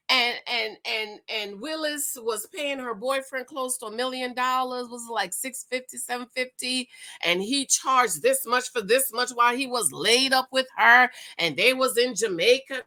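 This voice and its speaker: A woman in her forties hosts her own commentary vlog in a sassy, gossipy way and calls her viewers "girl" and "y'all." Her voice is fairly high and very bright and forceful. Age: 40s. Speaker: commentary vlogger.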